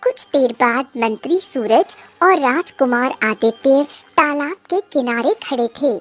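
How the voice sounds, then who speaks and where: A woman's voice: sits high, lively intonation, measured pace, soft background music. A woman narrator reading a scripted children's cartoon story.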